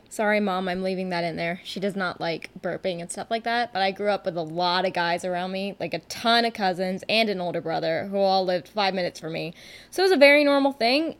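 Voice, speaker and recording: A woman hosting her own solo podcast from her couch at home, talking in a chatty, rambling, candid way and often poking fun at herself. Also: medium pitch. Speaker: podcast host and rock musician. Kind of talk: casual solo podcast monologue.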